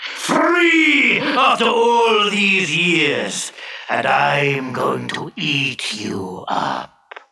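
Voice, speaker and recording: A man voicing an enormous, furious, vengeful genie in a storybook tale, with a booming, thunderous, roaring delivery. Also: big pitch swings, vocal fry, pushed and bright, reverberant, far from the mic, exaggerated voice. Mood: menacing, roaring. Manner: roaring, drawn-out menacing delivery.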